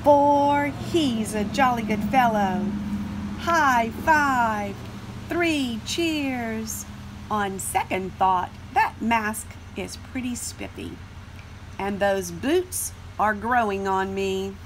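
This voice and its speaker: An earnest, sentimental cartoon number, acted out by a woman, chatting away in a fairly high storybook voice. The delivery is unhurried and exclamatory, with faint noise behind it. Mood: grateful, excited.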